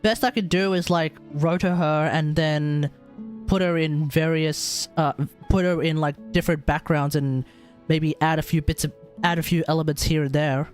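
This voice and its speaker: A man giving casual livestream commentary on a game, often halting and repeating himself. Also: on the high side, steady tone. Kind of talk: casual live stream commentary. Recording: game music faintly behind.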